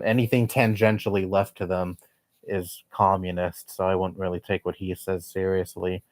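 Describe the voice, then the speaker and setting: Young male streamer, low-pitched, blunt chatty rambling, dry close mic. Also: darkish tone, no echo. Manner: blunt, swears often, rambling opinions. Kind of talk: casual live-stream chat.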